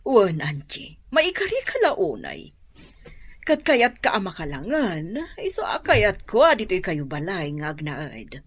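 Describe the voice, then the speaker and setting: A woman's voice: lowish voice, wildly swooping pitch, takes it slowly, husky, heavily acted. An actress with a Filipino accent playing an effusive, doting older mother-in-law in a radio drama. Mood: warm, motherly. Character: older mother-in-law, doting matriarch pleased with her children's weddings. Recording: music bed under the voice.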